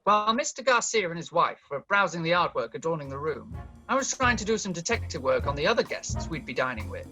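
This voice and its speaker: An actor playing a bumbling, pompous undercover detective, narrating his log deadpan in a comic radio play. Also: mid-range voice, fast, over music, natural voice, poor audio. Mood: earnest deadpan.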